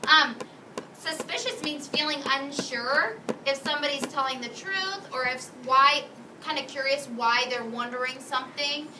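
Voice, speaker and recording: A woman teacher doing an interactive classroom read-aloud, instructive, carefully enunciating vocabulary for young pupils. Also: high voice. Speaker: second-grade teacher. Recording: echoey classroom, distant mic, noisy background.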